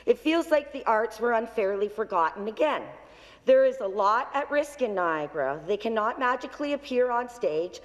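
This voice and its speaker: A woman reading a measured question from notes as an opposition member in a large legislative chamber, on a desk microphone. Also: fairly high pitch, lively intonation, long reverb tail.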